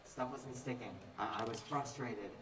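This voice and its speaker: A man's voice: medium pitch, steady tone, moderate pace, lightly acted. Young man playing jittery awkward sleepless student, trips over his words, stage play, echoey and far from mic. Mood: nervous, rambling. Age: young adult. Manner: hesitant, stammering, nervous. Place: indoor stage, recorded from afar. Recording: distant, echoey stage recording.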